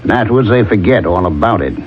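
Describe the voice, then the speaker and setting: An actor playing a hard-nosed, methodical police lieutenant with a dry, blunt manner in a 1970s radio drama. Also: male voice, fairly low pitch, animated, quick pace, faint music behind, close to an everyday voice. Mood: accusing, methodical. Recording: muffled, faintly noisy old radio broadcast.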